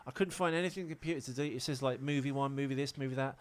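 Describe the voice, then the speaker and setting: A man with a mid-pitched voice co-hosts a podcast. He chats casually and jokes at his own expense, wandering from thought to thought in a self-deprecating ramble. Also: racing. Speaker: podcast co-host. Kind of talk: casual, joking podcast chat.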